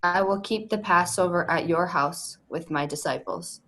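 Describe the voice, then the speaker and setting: Solemn religious teacher in a scripture drama, read by a woman, lower-pitched, home video-call sound. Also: moderate pace, bright, forceful tone, poor audio. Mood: calm, instructing. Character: Jesus, a solemn male religious teacher foretelling his death.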